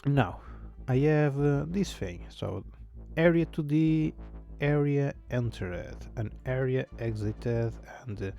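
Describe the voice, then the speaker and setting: A non-native English-speaking man thinks aloud as he codes on a live stream, filling pauses with hums and fillers. His voice is mellow and gravelly, and he talks slowly. Faint music plays behind him. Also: mid-range voice, lively intonation, lo-fi sound. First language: not English. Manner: thinks aloud, mutters to himself, frequent fillers. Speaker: game developer streamer. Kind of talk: casual live-stream coding chat.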